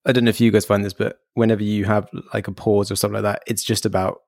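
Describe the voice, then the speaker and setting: A man hosting a casual podcast interview, chatty and quick to laugh. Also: fairly low pitch, little pitch movement, vocal fry, a little mellow, dry recording, clean studio sound. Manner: chatty, laughs easily, trails into restarts. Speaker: podcast host.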